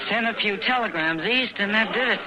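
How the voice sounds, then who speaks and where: Woman playing worldly saloon owner, frank, regretful, American, conversational, old radio drama, loud barroom crowd. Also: mid-range voice, fairly quick, natural voice, poor audio. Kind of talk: 1950s radio western acting.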